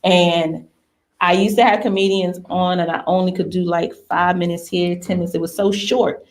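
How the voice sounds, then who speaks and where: A woman's voice: lowish voice, fairly even intonation, moderate pace. A woman hosting a talk show in a casual, bawdy style, with playful, slangy and informal delivery. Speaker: radio talk show host.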